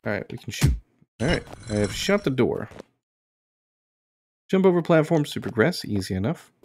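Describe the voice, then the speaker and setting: A man's voice: mid-range voice, very animated, normal speed, darkish tone, a little hiss or hum. A man giving casual, understated let's play commentary, musing aloud as he plays. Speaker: gaming video host. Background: game music behind the voice.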